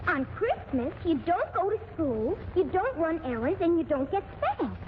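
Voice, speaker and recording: A woman's voice: very high-pitched, big pitch swings, moderate pace. A woman playing a clever, confident little child in a 1940s radio comedy. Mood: confident, scheming. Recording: tinny noisy 1940s radio recording.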